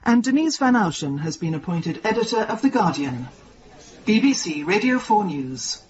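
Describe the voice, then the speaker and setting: A woman playing a deadpan radio newsreader, reading scripted headlines in a measured, straight-faced way. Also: mid-range voice, lo-fi sound, slight background noise, close to an everyday voice.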